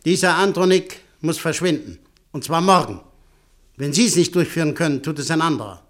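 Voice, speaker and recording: A man's voice: on the high side, animated, a little fast, a bit bright. An actor playing a domineering, calculating male company director in a German radio play, speaking in a curt, commanding manner. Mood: cold, threatening. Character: domineering, calculating business director who buys and threatens.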